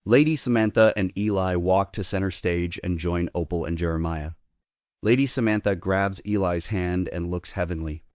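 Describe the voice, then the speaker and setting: A man serves as narrator, reading stage directions in a scripted style. His voice is very low and recorded dry, without room sound. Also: normal speed, a little mellow, no echo.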